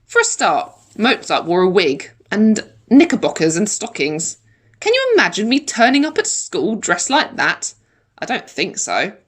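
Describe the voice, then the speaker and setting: A woman reading a children's story in the first person, with animated, dramatic emphasis like a primary school teacher. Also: medium pitch, measured pace. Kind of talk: expressive children's audiobook narration in first person.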